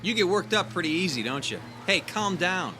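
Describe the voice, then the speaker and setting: A man's voice: high voice, sing-song, moderate pace, over music. An actor playing a cynical, condescending businessman in a radio drama, with a flippant, patronizing manner. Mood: dismissive, flippant. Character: cynical, dismissive male businessman, casually condescending. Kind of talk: modern radio drama acting.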